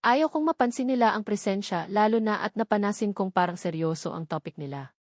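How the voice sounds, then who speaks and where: A woman narrates a romance novel in the first person, reading from a script. Her voice sits at an average pitch. The sound is dry, with no room echo. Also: brisk. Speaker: audiobook narrator.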